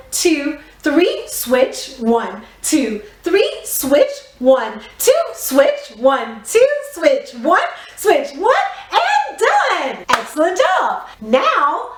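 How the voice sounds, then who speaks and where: A woman teaching a theatre warm-up to young children, giving encouraging, clearly phrased step-by-step instructions. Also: high voice. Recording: roomy echo, distant microphone.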